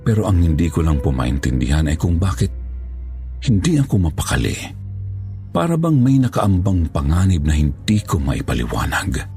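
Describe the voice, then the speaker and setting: A man's voice: low voice, very animated, normal speed, dark tone. A man reading a scripted first-person horror story. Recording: music bed under voice. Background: background music.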